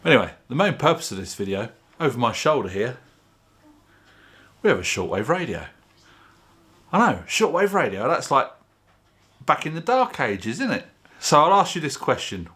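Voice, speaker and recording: A man's voice: medium pitch, big pitch swings, normal speed, long pauses, fairly bright tone. A man presenting his own video in a chatty, informal, plain-spoken way, explaining things casually to camera. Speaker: video channel host.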